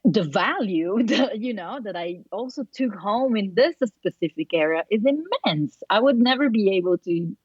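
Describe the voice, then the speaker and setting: A woman's voice: medium pitch, animated, normal speed, hardly any pauses, a little mellow, breathy edge, no room sound, muffled recording. A woman from Italy with an Italian accent, a podcast guest chatting thoughtfully with many fillers and restarts. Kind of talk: casual interview chat.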